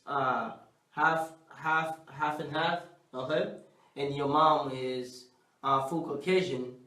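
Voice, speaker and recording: A man's voice: fairly high pitch, a bit bright. A man debating casually on a panel, insistently repeating phrases to hold the floor. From grew up half in Africa, half in the United States. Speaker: talk show panelist. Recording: echoey room, distant microphone.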